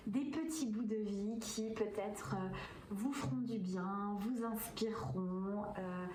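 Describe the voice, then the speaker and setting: A woman's voice: mid-range voice, fairly even intonation, takes it slowly, darkish tone. A woman in her thirties with a Swiss French accent, chatting casually to camera in a vlog, hesitant, with many filler sounds. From Switzerland. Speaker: vlogger and coach. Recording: roomy echo, from a distance, faint background hiss.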